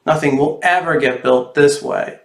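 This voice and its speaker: A man's voice: on the high side, lightly acted. Man putting on a dramatic little storybook girl, echoey. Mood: fretful, dismayed. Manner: dramatic, drawn-out hollering and fretting. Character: cute little girl, fretful, bossy, loud waker of sleepers. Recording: roomy, echoey sound.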